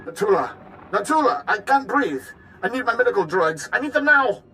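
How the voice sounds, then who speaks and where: Casting: pompous crooked tycoon for a game, imperious bluster with a panicky edge, faint music underneath. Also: male voice, high voice, very animated, moderate pace, sharp tone, full character voice, poor audio. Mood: panicked, gasping. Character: pompous wealthy crime-linked businessman, imperious yet panicky. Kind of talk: video game voice acting.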